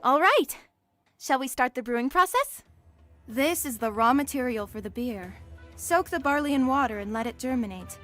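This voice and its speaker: A woman's voice: sits high, lively intonation, moderate pace. A woman voicing a friendly, helpful teacher in a comic dub, giving instructions in an obliging way. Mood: instructive. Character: helpful, friendly female teacher of ancient brewing. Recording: loud background music.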